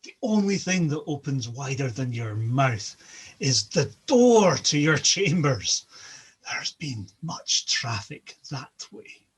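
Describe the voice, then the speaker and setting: A sneering, mocking trickster god, put on by a male storyteller. His husky voice leaps up and down in pitch as he throws out slow, scornful insults. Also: sing-song, heavily acted.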